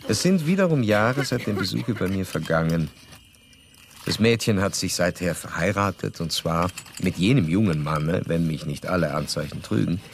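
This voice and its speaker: Deep, gravelly, condescending psychoanalyst. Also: male voice, a little hiss or hum. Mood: detached, narrating. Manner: formal, measured, old-fashioned diction. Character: stern, self-assured Viennese psychoanalyst, lecturing and condescending. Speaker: actor in a radio drama. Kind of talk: radio drama acting.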